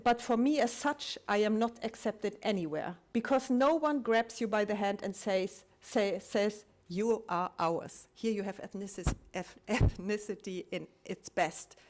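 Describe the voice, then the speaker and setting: A woman giving a public talk, reflective and halting, posing rhetorical questions and restarting her words often. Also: fairly high pitch. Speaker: conference speaker. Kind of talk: public talk sharing collected testimonies.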